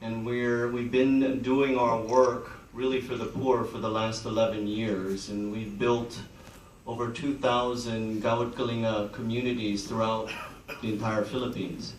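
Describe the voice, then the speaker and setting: An American man who heads a foundation, speaking casually in a relaxed, joking way. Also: medium pitch, measured pace, slight background noise. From United States. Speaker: foundation head. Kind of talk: casual speech with humor. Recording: echoey room, distant mic.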